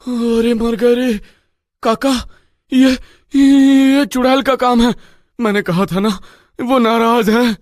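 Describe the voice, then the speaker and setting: A woman's voice: on the high side, steady tone, slow, pauses often, a little mellow, dry recording, clean studio sound. A woman voicing a kind, innocent young village boy in a storybook tale, speaking earnestly. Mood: frightened.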